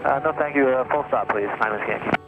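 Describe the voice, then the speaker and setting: Creaky male pilot on aircraft radio. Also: mid-range voice, quick pace, highs cut off. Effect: aviation radio. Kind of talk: radio readbacks. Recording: aircraft radio transmissions with music behind.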